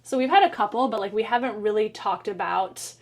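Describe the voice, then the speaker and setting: Podcast host, woman, middle-aged, blunt and chatty, mid-pitched voice. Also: brisk. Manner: frank, swears casually. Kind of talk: casual conversational interview.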